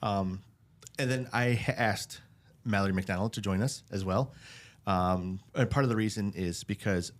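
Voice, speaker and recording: A middle-aged man hosting a casual interview podcast in a small studio, relaxed and chatty. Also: lowish voice, normal speed, hardly any pauses. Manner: relaxed, chatty, laughs easily. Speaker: podcast host. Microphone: studio mic on boom arm, headphones.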